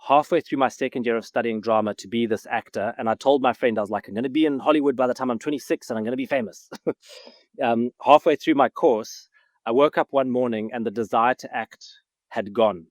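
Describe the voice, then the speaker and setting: A man's voice: mid-range voice, a little fast, no room sound. A man from Zimbabwe, a guest on a podcast, chatting casually and enthusiastically, often laughing. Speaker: guest, film writer-director. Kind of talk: casual interview chat.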